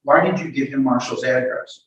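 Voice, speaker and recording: A man questioning a witness as a lawyer in court, halting and repeating words as he forms his questions. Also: mid-range voice, fairly bright tone, muffled recording, a little hiss or hum. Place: indoor courtroom. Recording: echoey courtroom, distant microphone.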